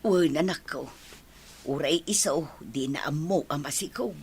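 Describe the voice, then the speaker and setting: A woman playing a caring, excitable elderly mother in an Ilocano radio drama, with an Ilocano accent and motherly, exclamatory delivery. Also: sits low, measured pace, breathy edge, full character voice. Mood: reassuring.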